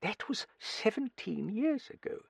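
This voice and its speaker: A man doing a gruff old lady, blustery and high. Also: very animated, measured pace, dry recording, exaggerated voice. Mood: bluff, gossipy. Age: older. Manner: blustering, swearing, hearty. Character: gruff old Australian-born woman, blunt and cheeky.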